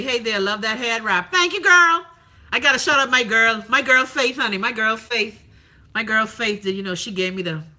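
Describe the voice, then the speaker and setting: A Bahamian woman hosting a livestream, chatting casually and sassily while reading out viewer comments, with plenty of profanity. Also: mid-range voice. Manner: sassy, profane, animated storytelling. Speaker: livestream host.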